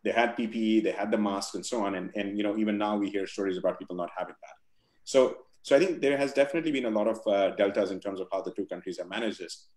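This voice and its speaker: Guest man from India, forties, low voice, roomy echo, halting and thoughtful. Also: darkish tone. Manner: thoughtful, many fillers and restarts. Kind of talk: video call interview. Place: home room with virtual backdrop. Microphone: video call.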